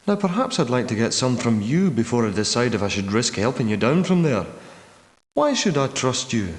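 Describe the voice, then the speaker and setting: A man's voice: medium pitch, close to an everyday voice. Echoing, weary old Scottish war veteran, acted by a man. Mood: wary. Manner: guarded, plain-spoken, dramatic delivery. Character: weary, wary old war veteran trapped underground for years. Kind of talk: video game character dialogue. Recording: echoey, long hall-like reverb.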